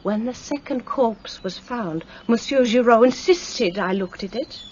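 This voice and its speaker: A woman's voice: fairly high pitch, a little slow, a little mellow, close to an everyday voice. A woman playing an earnest anxious young woman. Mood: urgent. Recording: dull sound with faint outdoor noise.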